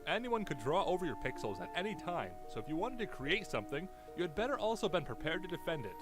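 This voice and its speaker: A man's voice: a little high, big pitch swings, quick pace. A man reading a scripted documentary-style video narration with theatrical, suspenseful storytelling. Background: background music.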